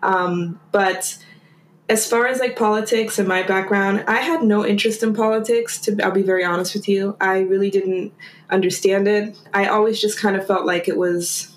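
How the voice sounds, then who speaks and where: A woman's voice: mid-range voice, steady tone, moderate pace, echoey room. A woman from Germany, a guest in a casual conversation, who talks in a chatty, rambling way full of fillers.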